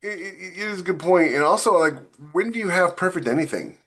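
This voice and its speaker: A man's voice: sits high, lively intonation, brisk, a bit bright. A man on a casual podcast panel, talking in a rambling, conversational way as he works through his point.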